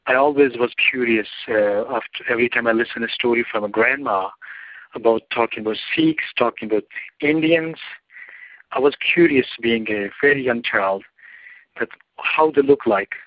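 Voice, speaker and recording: A man with a Pakistani accent answers an interviewer in long, winding sentences with some non-native phrasing. His voice sits at a middle pitch. The recording is dry and dull, like lo-fi audio. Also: moderate pace, no room sound. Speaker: journalist guest. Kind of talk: interview answer, personal story.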